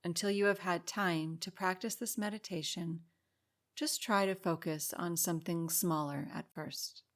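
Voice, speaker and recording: Low-pitched woman guiding a calm meditation. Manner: calm, soothing, unhurried instruction with pauses. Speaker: meditation guide and podcast host. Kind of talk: guided meditation narration.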